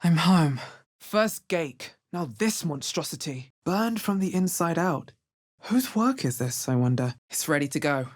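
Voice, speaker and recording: A woman playing a wry, resolute, watchful adventurer heroine in a video game, with a deep, husky voice and a measured, understated delivery. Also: animated. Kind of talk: video game character voice lines.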